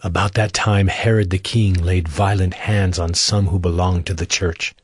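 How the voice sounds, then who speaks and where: A man giving a scripted, solemn and measured narration for an audio drama of scripture. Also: deep voice, fairly even intonation, slightly rough, dry recording, clean studio sound.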